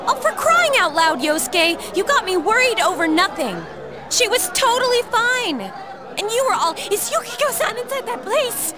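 A woman voicing a feisty, excitable, worried teenage schoolgirl with an American accent in an anime-style video game, expressive and blunt. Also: very high voice, moderate pace. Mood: exasperated. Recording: game music and background crowd.

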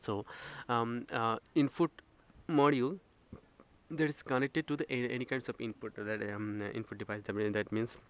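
A man who is a non-native English speaker gives a slide lecture as an instructor, halting and repeating himself as he explains step by step. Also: medium pitch, fairly even intonation, clean tone. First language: not English. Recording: noisy home-made screen recording.

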